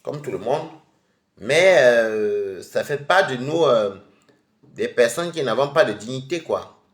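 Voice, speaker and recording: A man's voice: medium pitch, animated, takes it slowly, echoey room. A man with a head cold, chatting casually with viewers on a live stream from home while he eats. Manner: chatty and rambling, addressing viewers directly.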